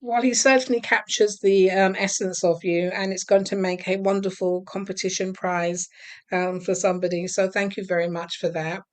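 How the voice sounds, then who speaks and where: A woman hosting an interview, asking measured, polite questions. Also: medium pitch, fairly even intonation. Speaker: interview host.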